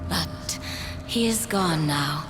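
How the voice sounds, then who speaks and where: A woman playing a seductive, scheming demon mother in a video game cutscene, speaking in a sultry, deliberate way. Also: sits low. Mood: solemn, urging. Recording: loud music over the voice. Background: loud cinematic game music.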